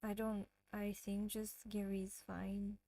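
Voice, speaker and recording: A woman's voice: medium pitch, monotone, slow pace, mellow, no room sound. A woman from France with a French accent, hosting a casual livestream and chatting with viewers, often giggling. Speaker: livestream host.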